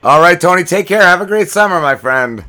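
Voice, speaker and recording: A man's voice: high voice, expressive, brisk, pushed and bright. A man hosting a casual podcast interview, upbeat and friendly, laughing easily with his guests. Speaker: podcast host.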